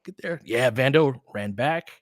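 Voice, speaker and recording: A man's voice: mid-range voice, animated, measured pace, no room sound, clean studio sound. A man hosting a live basketball stream, giving casual, analytical commentary and chatting with viewers in between short reactions to the play.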